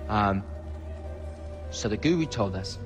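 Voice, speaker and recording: A man's voice: fairly low pitch, lively intonation, slow pace. Rambling British stoner guy. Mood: spaced-out storytelling. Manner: rambling, matey, hesitant. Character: rambling laid-back male stoner, foreign expat. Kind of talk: comic video game dialogue. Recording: music behind the voice.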